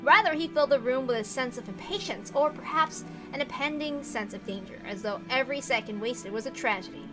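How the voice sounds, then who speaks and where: Woman, high pitch, expressive visual novel narration, casual asides, faint music behind. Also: brisk, few pauses.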